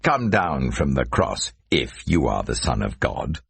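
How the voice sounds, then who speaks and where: A man voicing a scornful mob member with slow, drawn-out jeers in a dramatised scripture reading. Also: extremely low pitch, big pitch swings, gravelly, a bit bright, slightly rough, no echo, full character voice. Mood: mocking. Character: scornful mob of jeering men at an execution.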